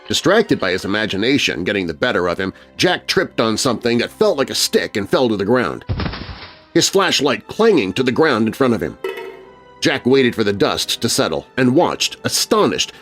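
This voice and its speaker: A male storyteller delivers spooky tales for children with theatrical suspense. His pitch swings expressively from line to line, and music plays behind him. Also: very animated, normal speed, fairly bright tone. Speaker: narrator. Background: music bed.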